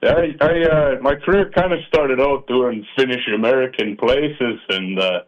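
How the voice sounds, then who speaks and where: A man's voice: mid-range voice, moderate pace. A man from northern Minnesota, a polka musician chatting casually in a radio interview, folksy and self-deprecating. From Iron Range, northern Minnesota. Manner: folksy, joking and self-deprecating, trailing off with fillers. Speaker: polka musician and accordion player. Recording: dull AM radio broadcast sound.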